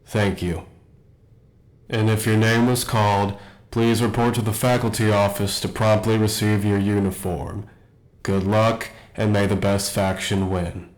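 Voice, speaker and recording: A man playing an academy principal who makes formal, measured announcements in an audio roleplay. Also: fairly low pitch, level tone, close to an everyday voice. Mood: formal, official.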